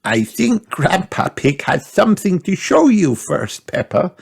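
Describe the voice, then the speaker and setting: Man doing sweet old granny pig, high gentle voice, storybook read aloud. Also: sing-song, measured pace, creaky, breathy edge, heavily acted. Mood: welcoming.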